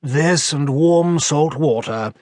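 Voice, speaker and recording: A man voicing a kindly, no-nonsense doctor's wife in an audiobook, with a croaky smoker's voice and refined English accent. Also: a little high, slow, darkish tone, no room sound, clean studio sound, pitched above the performer's own voice. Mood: matter-of-fact. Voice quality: hoarse, croaky.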